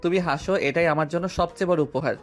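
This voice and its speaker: A man playing a tender, persistent young student in love, speaking softly and earnestly in an audio drama. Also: on the high side, quick pace, faint music behind, lightly acted. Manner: soft, earnest, romantic delivery.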